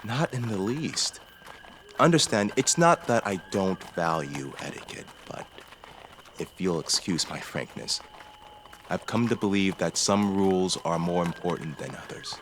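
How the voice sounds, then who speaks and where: An actor playing a courtly, calculating young nobleman in a fantasy audio drama, speaking formally, deliberately and politely. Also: deep voice, sing-song, a bit bright, slight background noise, exaggerated voice. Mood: courteous, measured.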